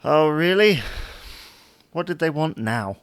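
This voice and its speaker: A man's voice: a little high, lively intonation, takes it slowly, no echo. A man playing a chatty, fussy, wryly puzzled husband in an audio sitcom. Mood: dry. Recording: noticeable background noise.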